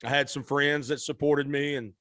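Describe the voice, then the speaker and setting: A man in his thirties from Arkansas, a guest singer telling his story in a relaxed, rambling, folksy way, often correcting himself. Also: fairly high pitch, moderate pace, dry recording.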